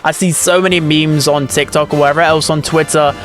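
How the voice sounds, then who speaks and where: A man hosts a gaming ranking video in a fairly high voice. He is chatty and opinionated, talking casually, with music playing underneath. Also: steady tone, a little fast, a bit bright.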